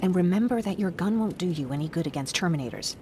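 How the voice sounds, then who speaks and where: A woman's voice: lowish voice, lively intonation, fast talker, background noise. A woman voicing a streetwise, wry and wary young scavenger in a game. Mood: instructing. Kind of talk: game voice acting, companion dialogue.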